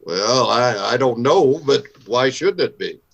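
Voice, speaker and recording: Guy acting a stern old west marshal, gravelly voice, radio western style, no-nonsense. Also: medium pitch, lively intonation, measured pace, highs cut off. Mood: calm, questioning. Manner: plain-spoken, commanding. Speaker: voice actor in radio show recreation.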